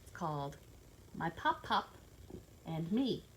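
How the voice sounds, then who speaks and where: Slow-paced woman reading a picture book aloud. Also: low-pitched, a little hiss or hum, rough recording. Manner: playful, expressive, sing-song rhyme reading; simple child-directed explaining. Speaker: children's read-aloud host, teacher. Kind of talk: picture book read-aloud with asides to children.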